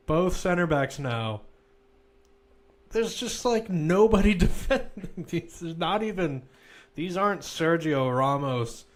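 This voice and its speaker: A man commentating live on a football match, mixing play-by-play calls with informal, conversational analysis. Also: mid-range voice.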